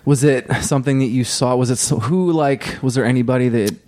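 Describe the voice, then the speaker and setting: A man interviewing a guest, asking halting, casual questions. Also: mid-range voice, darkish tone.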